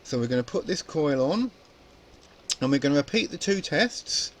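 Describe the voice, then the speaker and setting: A man's voice: a little high, animated, moderate pace, slight background noise. A man presenting an informal hands-on electronics tutorial, explaining casually and thinking aloud as he goes. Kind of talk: informal spoken tutorial demonstration.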